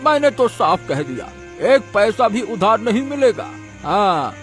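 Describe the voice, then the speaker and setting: A stingy village shopkeeper from a cartoon tale, acted by a man, very high in pitch and full of animated swings. He is contemptuous and scolding, with faint music underneath. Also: unhurried, exaggerated voice. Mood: gloating, contemptuous. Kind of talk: cartoon story character acting.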